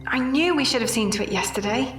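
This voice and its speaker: An actress as a principled woman leading survivors in a period audio drama. Her voice sits fairly high and she speaks with earnest command, recorded in a roomy space some way from the microphone. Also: lively intonation, fast, fairly bright tone, distant mic, soft background music. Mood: firm. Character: firm, principled woman keeping a group of survivors together.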